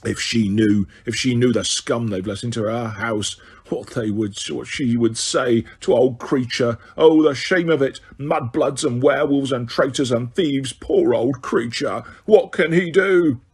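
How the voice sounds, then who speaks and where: A man voicing a spiteful old male house elf who mutters in a hoarse, deep, croaking voice. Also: a little slow, darkish tone. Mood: muttering. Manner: muttering under the breath.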